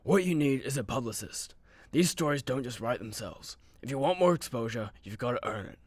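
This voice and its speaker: A man's voice: mid-range voice, lively intonation, fairly quick, no echo, clean studio sound, close to an everyday voice. An actor playing a smug, wealthy male superhero in a radio comedy, glib and sardonically teasing. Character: smug, rich, mocking male superhero without powers. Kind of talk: comedy radio play acting.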